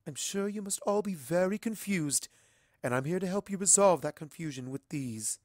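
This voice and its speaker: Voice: mid-range voice, expressive, normal speed, darkish tone, dry recording, clean studio sound, pitched above the performer's own voice, lightly acted. A performer voicing a regal, benevolent female pony princess in a storybook, speaking in a formal, authoritative manner. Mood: reassuring.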